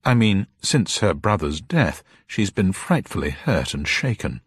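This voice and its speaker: A man voicing a kind, protective fiancée in an audiobook story, brisk and tactful. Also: low-pitched, big pitch swings, slightly rough, no room sound, voice pushed up, full character voice. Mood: hasty, tactful. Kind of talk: dramatised audiobook character voice.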